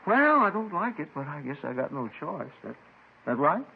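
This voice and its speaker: An actor playing a rambling, eccentric robbery suspect with a querulous, folksy American delivery in a 1950s radio drama. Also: male voice, fairly high pitch, sing-song, normal speed, gravelly, breathy edge, heavily acted. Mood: grumbling, resigned. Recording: tinny, noisy 1950s radio recording.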